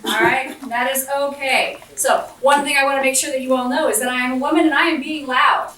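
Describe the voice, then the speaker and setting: Loud, high-voiced American woman in an echoey room. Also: fairly even intonation, a little fast. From Southwestern West Virginia, USA. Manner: loud, projecting, walks around while speaking. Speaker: guest speaker and center director. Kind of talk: lively motivational talk to an audience. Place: large indoor event room. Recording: echoey room, voice from far off, faint noise.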